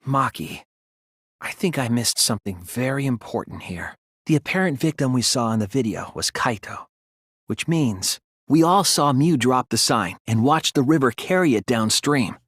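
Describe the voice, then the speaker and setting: A man voicing an earnest, soft-spoken teenage boy detective in a video game, reasoning things out deliberately with a slightly breathy voice. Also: medium pitch, lively intonation, no room sound, studio quality. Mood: focused, explanatory. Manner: deliberate, earnest, analytical explaining with dramatic emphasis. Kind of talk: English video game voice acting, deductive monologue.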